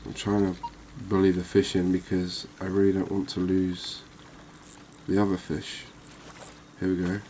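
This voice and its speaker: A man giving quiet, cozy ASMR commentary on a game, unhurried and thinking aloud. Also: low-pitched, monotone, a little hiss or hum, low-quality recording. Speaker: gaming video host.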